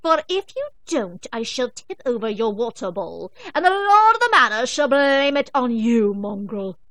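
A woman puts on a tyrannical storybook house cat who talks like a cruel queen. She is haughty and imperious, drawing out her orders slowly and loudly, set against a dry, close sound with no room around it. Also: a little high, lively intonation, no room sound. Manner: imperious, slow and commanding.

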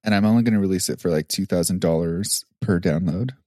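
A man's voice: deep voice, fast, a little mellow, no room sound, studio quality. A man co-hosting a podcast, joking around and laughing often in casual chat. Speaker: podcast co-host and producer.

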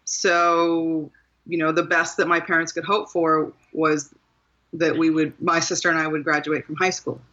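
A woman from Maryland with a bright, forceful voice speaks in a reflective interview, earnest and a little self-deprecating, sometimes restarting her sentences. The sound is slightly muffled and lo-fi. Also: low-pitched, flat delivery, moderate pace. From Maryland, USA. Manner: thoughtful, earnest, with small laughs and restarts. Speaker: guest author.

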